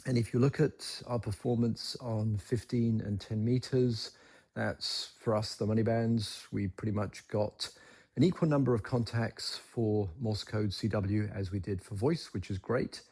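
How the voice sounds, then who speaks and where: A man giving an unscripted, matter-of-fact recap as a ham radio operator, in measured phrases. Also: on the low side, fairly even intonation, a little mellow, husky. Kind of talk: unscripted explanatory recap to camera.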